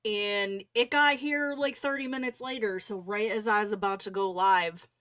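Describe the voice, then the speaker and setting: A woman hosting a casual livestream, chatting informally in a halting way. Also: mid-range voice, fairly even intonation, a bit bright, no echo, studio quality. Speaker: livestream host.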